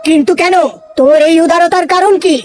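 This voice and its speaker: A woman's voice: extremely high pitch, steady tone, fairly quick. A woman voicing a proud male lion in a cartoon, commanding and boastful. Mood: questioning. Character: fierce male lion lord, boastful, softening. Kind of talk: cartoon voice acting. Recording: music behind the voice.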